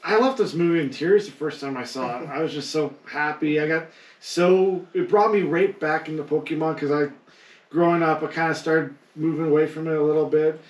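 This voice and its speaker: A man's voice: on the high side, brisk. A man chatting casually and enthusiastically as a podcast co-host. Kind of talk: casual panel chat. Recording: roomy echo, distant microphone.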